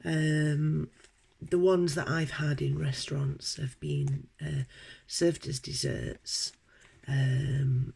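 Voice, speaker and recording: A British woman with a cold, sounding croaky and sniffly, chatting hesitantly through an unboxing with many ums. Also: low-pitched, animated, takes it slowly, mellow. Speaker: unboxing vlogger. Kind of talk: casual unboxing chat.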